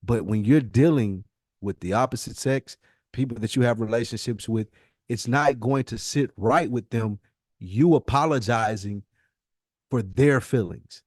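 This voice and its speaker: Male podcast co-host, mid-pitched voice, close dry sound. Casual, slangy arguer who drives each point home by repeating it. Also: measured pace, a little mellow, no echo.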